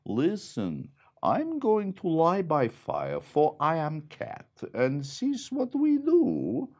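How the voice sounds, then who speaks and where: A man voicing a proud, scrappy cat that meows and talks in broken grammar in a husky storybook voice. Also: fairly high pitch, huge pitch swings, takes it slowly, a little mellow, voice pushed up, heavily acted. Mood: smug.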